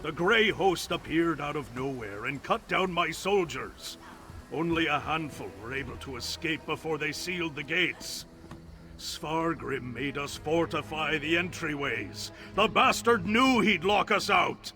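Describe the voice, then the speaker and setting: A man's voice: fairly high pitch, animated, unhurried, sharp tone, soft background music, exaggerated voice. A man playing a bitter, betrayed warrior commander in a fantasy video game, with an intense, dramatic delivery. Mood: bitter, despairing.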